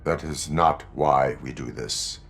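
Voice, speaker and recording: Casting: robotic lawman, extremely low gravelly voice, deadpan, formal, game cutscene. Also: takes it slowly, heavily acted. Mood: stoic, flat. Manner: deadpan, clipped formal phrasing. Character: stoic cyborg police officer, heroic, formal and restrained. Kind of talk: video game cutscene acting.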